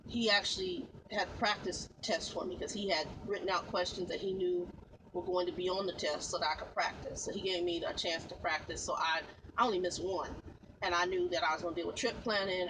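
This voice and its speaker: A woman's voice: on the low side, fairly even intonation, fairly quick, background noise. A woman truck driver vlogging in a casual, rambling way, repeating herself and calling her viewers y'all. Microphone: phone.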